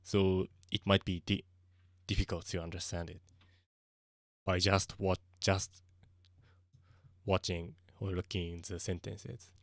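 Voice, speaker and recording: Low-voiced man with a Japanese accent. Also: little pitch movement, dry recording, clean studio sound. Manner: halting and searching for words, with frequent soft laughs. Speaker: streamer. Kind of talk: casual livestream chat.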